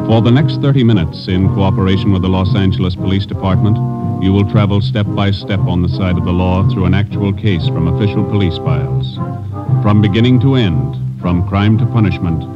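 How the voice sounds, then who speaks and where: A man's voice: low voice, a little fast, over loud music. A man announcing a program in a formal 1950s radio style. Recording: muffled vintage radio recording.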